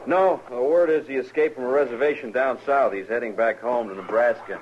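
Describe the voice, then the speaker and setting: An actor playing a green, proud young American cavalry lieutenant, clipped and a little hesitant, in a 1950s radio western. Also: male voice, mid-range voice, fairly quick, natural voice. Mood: official. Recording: hissy muffled 1950s radio recording.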